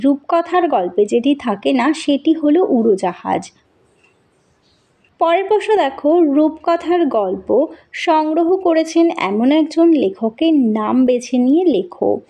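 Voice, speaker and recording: A woman teacher giving a scripted school lesson to young pupils, speaking in a deliberate, instructive way. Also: high-pitched, mellow. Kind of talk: scripted lesson narration with question-and-answer and poem recitation.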